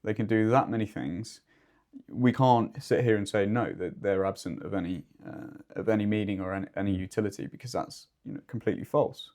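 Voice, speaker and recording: A man with a fairly low voice talks as the expert co-host of a business video. His delivery is conversational and explanatory, with measured, somewhat wordy phrasing. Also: a little mellow. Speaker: podcast co-host and two-way radio expert.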